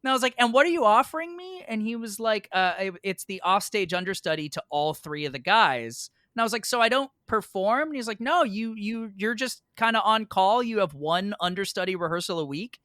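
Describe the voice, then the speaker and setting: Animated man telling casual stories, bright voice. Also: high-pitched, fairly quick, few pauses, no echo, clean studio sound. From United States, New York. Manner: animated, acts out both sides of remembered exchanges. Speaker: podcast guest, stage actor. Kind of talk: casual anecdotal storytelling.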